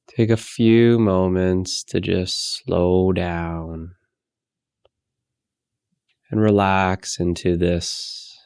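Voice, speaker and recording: Slow, low-voiced man guiding meditation. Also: creaky, no room sound, studio quality. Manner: unhurried, gentle, long pauses between phrases. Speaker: meditation guide. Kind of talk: guided meditation, soothing instruction.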